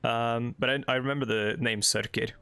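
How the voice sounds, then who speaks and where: A man's voice: medium pitch, steady tone, normal speed, pushed and bright, slight background noise. A young man from Norway with a Norwegian accent, English not his first language, rambling casually through gameplay commentary with lots of fillers. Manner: rambling, filler-heavy, chatty asides while reading game text. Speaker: let's play host.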